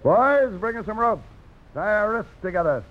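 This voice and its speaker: A menacing gambling-den villain. His pitch swings widely as he sneers and threatens. It is a muffled old radio play. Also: lively intonation, moderate pace, over loud music. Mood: challenging. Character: deadly, menacing gambling-den boss and knife fighter. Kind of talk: 1950s radio drama villain acting. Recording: dull old radio broadcast.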